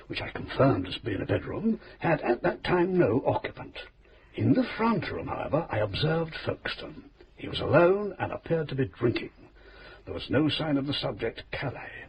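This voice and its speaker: An actor playing a fussy, pompous private inquiry agent who talks in long-winded formal phrases, in a radio drama. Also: male voice, on the high side, a little hiss or hum, rough recording.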